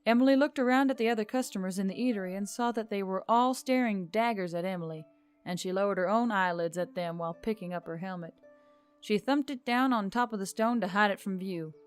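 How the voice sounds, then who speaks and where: A woman reading scripted fiction as a story narrator, in an expressive storytelling style. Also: medium pitch, fairly quick, clear, resonant tone. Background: background music.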